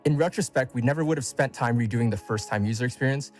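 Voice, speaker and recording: Mid-pitched man reading a scripted statement. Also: fast, a little mellow, faint music behind. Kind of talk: scripted studio announcement.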